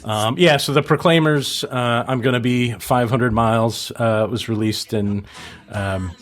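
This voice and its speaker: A man's voice: mid-range voice, unhurried. A middle-aged man co-hosting a podcast, chatting casually about music. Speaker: podcast co-host.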